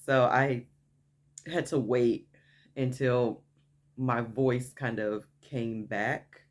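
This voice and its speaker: Deep-voiced woman chatting excitedly. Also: drawn-out words. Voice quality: naturally deep voice. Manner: chatty, frequent "okay?" asides, hyped enthusiasm. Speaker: vlogger. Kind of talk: casual story time vlog.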